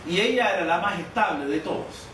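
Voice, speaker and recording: A man's voice: high voice, expressive, a little fast. Man preaching in an echoey hall. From Canada. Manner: conversational, with many repeated words and restarts. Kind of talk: sermon storytelling. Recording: echoey, distant, noisy room.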